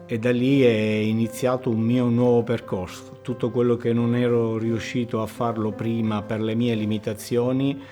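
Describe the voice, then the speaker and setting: A man speaking in a calm, measured way in a documentary interview. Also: mid-range voice. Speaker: climber with haemophilia. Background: music.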